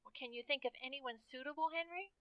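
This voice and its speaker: A woman's voice: sits high, quick pace, dry recording, dull audio, natural voice. A woman playing a sweet, coy, gushing teenage girlfriend in an old-time radio comedy. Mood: sweet, patient.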